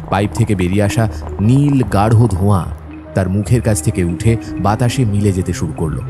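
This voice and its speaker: An actor playing a thoughtful, observant detective's companion, telling the story in a measured, descriptive way for an audio drama. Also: male voice, fairly low pitch, close to an everyday voice. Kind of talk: scripted narration and audio drama acting.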